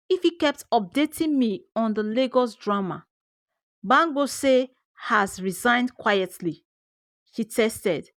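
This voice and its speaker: A Nigerian woman narrates a first-person folktale with theatrical expression. Her delivery is unhurried and very lively in pitch, in a dry, close recording. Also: no echo. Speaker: storyteller.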